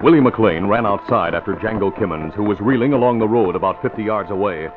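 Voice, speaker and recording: Deep-voiced old-time radio narrator with music. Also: male voice, fast. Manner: dramatic, formal storytelling delivery. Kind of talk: 1940s radio crime serial narration. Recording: muffled old radio recording. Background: dramatic music.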